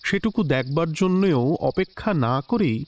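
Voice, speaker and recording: A man narrating a classic story in a measured, expressive literary style. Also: fairly high pitch, a little hiss or hum. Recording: slightly dull lo-fi recording with soft music.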